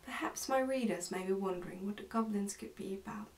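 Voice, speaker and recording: A young woman reads a storybook aloud in a soft, hushed, careful ASMR style. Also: mid-range voice, fairly quick, recorded from a distance, slight background noise. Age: young adult. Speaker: ASMR narrator reading a book aloud. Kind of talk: ASMR storybook reading, soft-spoken and whispery. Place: indoors, small plain room.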